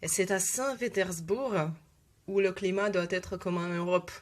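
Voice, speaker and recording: Slow, hesitant woman with a cold. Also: medium pitch. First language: Russian. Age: 20s. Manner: hesitant, many filler sounds and restarts. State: has a head cold. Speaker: vlogger. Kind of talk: casual video talk.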